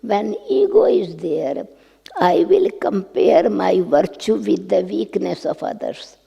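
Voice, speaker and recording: Slow, gravelly older woman. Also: mid-range voice, sing-song, highs cut off. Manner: slow, soft and devotional, with whispered stretches. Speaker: spiritual teacher. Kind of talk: spiritual talk answering a question. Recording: echoey hall.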